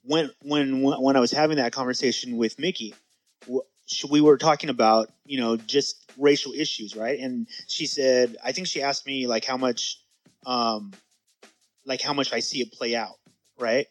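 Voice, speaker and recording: A man's voice: mid-range voice, fairly even intonation, moderate pace, faint music behind, poor audio. A man hosting a casual podcast conversation, earnest and agreeable, restarting his sentences often. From San Diego area, US. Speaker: podcast host.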